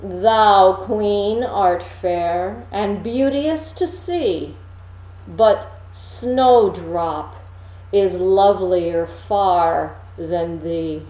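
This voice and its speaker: A woman voicing a solemn magic looking glass that speaks in slow, archaic, declaiming phrases. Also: medium pitch, dark tone, echoey room, low-quality recording. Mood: solemn, proclaiming.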